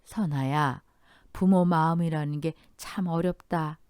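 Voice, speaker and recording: A woman voicing a gentle, soft-hearted older mother-in-law in an audio drama, speaking kindly. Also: low voice, steady tone, a little slow, clean tone, no echo, clean studio sound. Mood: tender.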